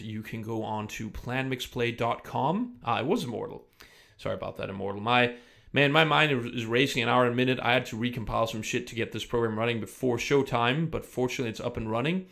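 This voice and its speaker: Casual male stream host with some vocal fry, talking off the cuff and interrupting himself. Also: medium pitch, fairly quick, fairly bright tone. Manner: rambling, self-interrupting, chatty. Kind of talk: casual live-stream chat. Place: room in Germany. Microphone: studio microphone without pop filter.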